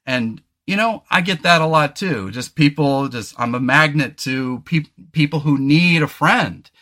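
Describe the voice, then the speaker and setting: Male podcast host, higher-pitched and bright, chatty and profane, casual banter. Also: lively intonation, measured pace. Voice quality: deep booming voice. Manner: talkative, swears casually, self-deprecating. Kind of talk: casual chat.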